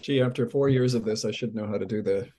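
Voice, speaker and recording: A man introducing himself on a video call, a charity supporter who speaks hesitantly with frequent pauses and restarts. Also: on the low side, dark tone. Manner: hesitant, many fillers and restarts. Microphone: webcam on video call.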